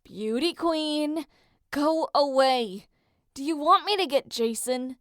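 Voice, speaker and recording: A woman's voice: high-pitched, animated, slow pace, fairly bright tone, no room sound, voice pushed up, exaggerated voice. Woman acting a cheeky, brash teenage boy, close-up dry sound. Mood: teasing. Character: Leo, cheeky, teasing teenage boy demigod. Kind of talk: dramatic reading of a fan story.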